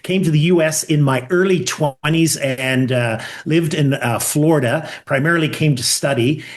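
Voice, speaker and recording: A middle-aged man with a Northern Irish accent, talking reflectively as an interview guest in a measured, halting way. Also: on the high side. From Northern Ireland. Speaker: podcast guest, conflict resolution expert.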